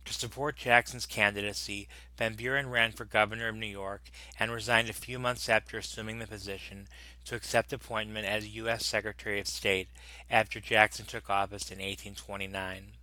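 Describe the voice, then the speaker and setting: A man reads an encyclopedia article aloud at a steady, unhurried clip, sometimes tripping over a word and going back to fix it. His lowish voice has a bright, cutting edge. The recording is close and dry, with almost no room sound. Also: no echo, rough recording. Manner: steady reading with occasional stumbles and self-corrections. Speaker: volunteer reader of an encyclopedia article. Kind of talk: plain read-aloud narration.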